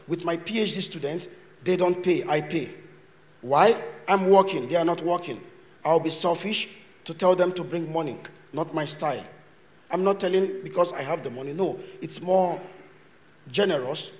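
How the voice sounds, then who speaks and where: A man with a high voice gives a chatty conference talk, mixing Turkish words into loose English and playing out small exchanges as he goes. His voice carries a long reverb from a university hall. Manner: chatty, joking, acts out little scenes. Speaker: invited lecturer.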